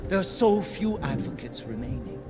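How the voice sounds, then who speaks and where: An actor playing a principled, embattled pacifist leader in a video game cutscene, speaking with solemn, formal eloquence. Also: male voice, mid-range voice, sing-song, moderate pace, natural voice. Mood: earnest, troubled. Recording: loud music behind the voice.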